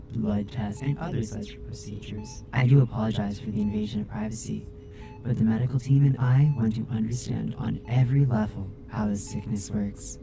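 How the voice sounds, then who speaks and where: A man voicing a caring robot crewmate in an ASMR roleplay, speaking in a formal, precise, measured way. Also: medium pitch, gravelly, close to an everyday voice. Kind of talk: ASMR roleplay audio drama.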